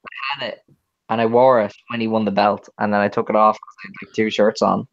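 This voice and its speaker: Man, Dublin accent, podcast co-host, low voice, rambling, sweary, self-mocking, muffled lo-fi sound. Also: fairly even intonation, brisk, vocal fry, no echo. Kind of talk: casual chat.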